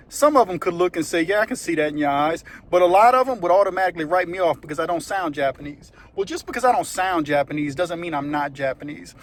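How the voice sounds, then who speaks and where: High-voiced New man. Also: a bit bright. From West Bank of New Orleans, Louisiana. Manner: rambling, conversational, fond of "you know what I mean". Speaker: former prisoner telling his story. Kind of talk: casual storytelling monologue.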